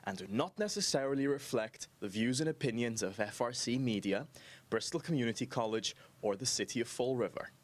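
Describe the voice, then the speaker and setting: A man reading a station disclaimer in a formal, even delivery. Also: mid-range voice, fairly quick, a little hiss or hum. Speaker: announcer. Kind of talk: read disclaimer.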